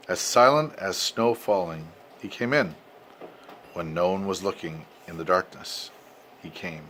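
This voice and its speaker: An older man, a grandfather, reads a storybook aloud to his family expressively, with dramatic pauses and emphasis. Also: sits low, fairly bright tone. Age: 60s or older. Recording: noisy amateur home recording.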